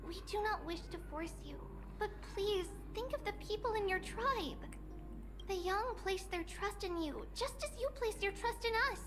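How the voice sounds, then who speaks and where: A woman's voice: very high voice, noisy background. A woman voicing an earnest, upbeat young woman character in a game, pleading and then cheerfully suggesting a song. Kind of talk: game voice acting.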